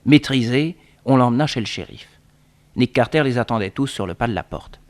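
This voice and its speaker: Actor as mid-pitched radio serial narrator. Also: male voice, brisk, natural voice. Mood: narrating. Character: storytelling narrator of a detective serial. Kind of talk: scripted narration of 1960s French radio drama.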